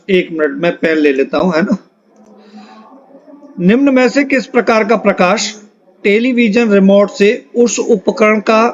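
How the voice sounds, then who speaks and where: A man teaches an exam-prep lesson, reading out questions and options and repeating them for emphasis. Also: high voice. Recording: dull, lo-fi microphone sound.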